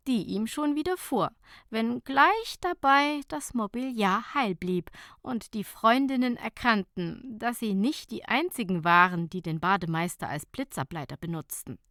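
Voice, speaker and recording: A woman narrating a scripted humorous short story as an audiobook, in an expressive storytelling manner. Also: medium pitch, dry recording, studio quality.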